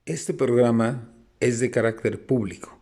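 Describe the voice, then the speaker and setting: A man's voice: medium pitch, measured pace, mellow. A man with a Mexican accent reading a scripted public notice as an announcer.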